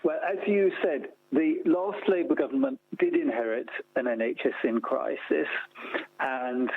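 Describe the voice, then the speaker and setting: Brit guy phoning into radio interview, tinny line, raspy voice, measured point by point explaining. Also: on the high side, very animated, dry recording. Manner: measured, structured, enumerating points. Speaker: interview guest, report author and organisation founder. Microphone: telephone. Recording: tinny narrow-band phone line.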